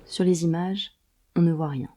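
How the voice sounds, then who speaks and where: A woman narrating a scripted audio drama in a deliberate, wry storytelling manner. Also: fairly low pitch, steady tone, mellow.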